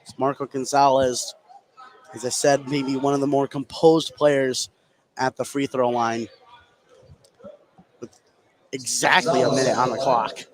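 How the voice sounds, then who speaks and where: A man giving conversational color commentary on a live high school basketball radio broadcast. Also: level tone, a little slow, pauses often, a little hiss or hum, poor audio. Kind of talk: conversational live sports analysis.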